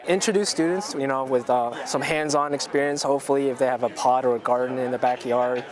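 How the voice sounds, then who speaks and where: A man speaking casually and haltingly in an interview as a club member. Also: mid-range voice, fairly even intonation. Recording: crowd chatter at a busy event. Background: crowd.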